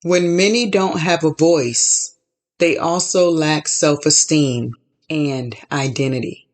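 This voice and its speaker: Slow, measured woman preaching on a podcast. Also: sits low. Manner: measured, repetitive preaching cadence. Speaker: podcast host. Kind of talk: inspirational faith talk.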